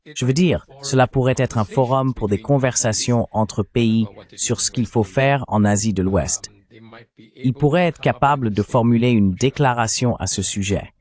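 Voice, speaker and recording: A man's voice: mid-range voice, normal speed, a little mellow. A man with a mid-range voice provides voice-over for a political interview. He delivers the translated questions and answers as spoken discussion. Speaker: French voice-over translating interview host and guest.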